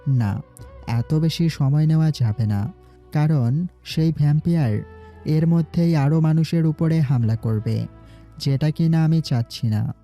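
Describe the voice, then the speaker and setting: A man's voice: fairly high pitch, unhurried, mellow. A man voicing a commanding young city boss in an audio drama, giving firm orders. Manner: commanding, gives orders firmly. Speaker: voice actor. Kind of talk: Bengali audio drama acting. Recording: faint background music.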